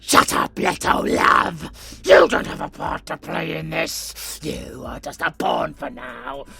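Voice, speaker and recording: A savage vampire abomination, hungry for prey and full of profane taunts. The delivery is very drawn out and gravelly, lurching wildly between hissed whispers and roars. It is horror roleplay at full fury. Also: medium pitch, wildly swooping pitch, slow, pushed and bright, no room sound, faint music behind, full character voice. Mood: hungry, furious. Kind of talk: horror audio roleplay acting.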